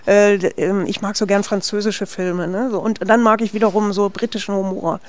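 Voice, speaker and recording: A woman from West Berlin talks through her work as a film director in a relaxed interview. Her speech is chatty and full of restarts. She keeps tagging a quick "ne?" onto her sentences. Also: mid-range voice. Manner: lively, tags sentences with "ne?", frequent restarts. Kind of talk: conversational interview answers.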